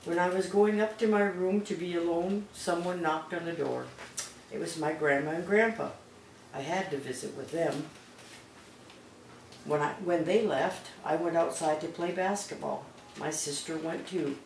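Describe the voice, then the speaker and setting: A woman's voice: fairly low pitch, moderate pace. An older woman, a grandmother, reading a children's picture book aloud at home. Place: small living room at home, on a couch. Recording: roomy, distant mic, background noise.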